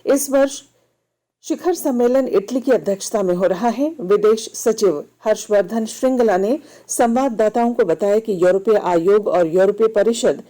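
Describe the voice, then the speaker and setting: A woman newsreader delivers a scripted radio bulletin in a formal, measured way. Her voice is mellow and relaxed, sitting at a medium pitch. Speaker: news reader.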